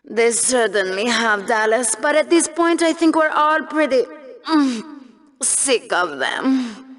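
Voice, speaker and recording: Contemptuous game-show co-anchor. Polished mock-newsreader delivery, sardonic and mean, with lively swings in pitch. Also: female voice, takes it slowly. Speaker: broadcast co-anchor. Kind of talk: mock news-anchor commentary.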